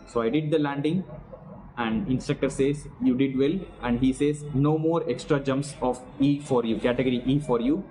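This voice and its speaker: A man's voice: mid-range voice, a little mellow, poor audio. A man vlogging a casual debrief, earnestly walking through what he did step by step. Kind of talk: casual personal debrief to camera.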